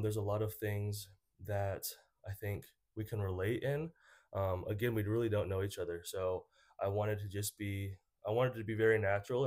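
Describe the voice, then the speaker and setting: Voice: low voice, a little mellow. A podcast host opening the show and interviewing a guest, encouraging with frequent small responses. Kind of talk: casual interview.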